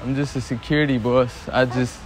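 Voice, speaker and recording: A man's voice: medium pitch, fairly even intonation, fairly quick, music in the background. A young adult man chatting casually as a prank vlog host, slangy and peppering his talk with bro and boss. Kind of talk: casual chat in a hidden prank. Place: large warehouse store, later parking lot. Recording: noisy store and outdoor ambience.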